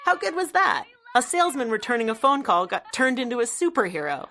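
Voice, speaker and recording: A woman's voice: a little high, big pitch swings, a little fast, pushed and bright, slight background noise, exaggerated voice. A woman performing as the narrator of a business audio drama, reading a scripted story. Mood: upbeat storytelling. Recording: music bed behind the voice.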